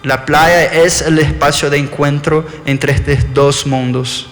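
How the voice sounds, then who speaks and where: A man's voice: a little high, steady tone, takes it slowly, a bit bright. A man with a Brazilian background and an Argentine-tinged Spanish accent, giving an architecture lecture and often correcting himself mid-word. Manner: thoughtful, with frequent self-corrections. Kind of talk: live lecture presentation. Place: lecture hall. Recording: long reverb in a lecture hall.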